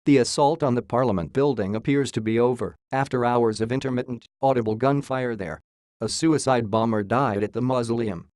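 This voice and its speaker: A man's synthetic voice reading a scripted news report in a flat, read-out manner. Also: mid-range voice, fairly quick, dry recording, studio quality. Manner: flat synthetic read-out, spells out abbreviations and symbols. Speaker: news reader.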